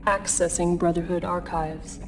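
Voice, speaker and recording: Game briefing computer: detached, official, clipped delivery, slightly far off under clear music. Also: female voice, on the low side, steady tone, unhurried. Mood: detached, solemn. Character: impersonal female military computer announcer. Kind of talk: scripted video game briefing announcements. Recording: distant voice under prominent music.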